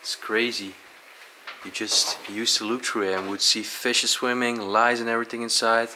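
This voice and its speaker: A young man casually exploring a dark abandoned aquarium, curious and describing what he finds, with short laughs. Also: mid-range voice, flat delivery, normal speed, slight background noise, low-quality recording. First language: Dutch. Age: young adult. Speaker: urban explorer.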